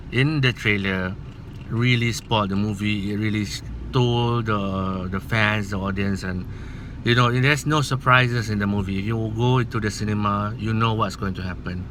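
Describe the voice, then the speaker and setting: A man's voice: fairly low pitch, sharp tone, slight background noise. A man with a Malaysian accent, whose first language is Malay, giving his opinion in a casual movie vlog in an earnest, rambling, hesitant way. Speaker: movie vlogger.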